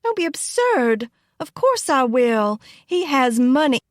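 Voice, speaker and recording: Woman voicing a haughty, spiteful young belle, high-pitched. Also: normal speed, darkish tone, dry recording, studio quality, close to an everyday voice. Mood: scornful, insistent. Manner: emphatic, sneering, scornful. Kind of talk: audiobook character acting.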